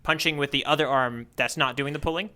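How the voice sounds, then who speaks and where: Quick, bright-voiced man thinking aloud. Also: mid-range voice, fairly even intonation, clear, resonant tone. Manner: thinks aloud, repeating back to check understanding. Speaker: podcast co-host playing the learner. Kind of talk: casual chat.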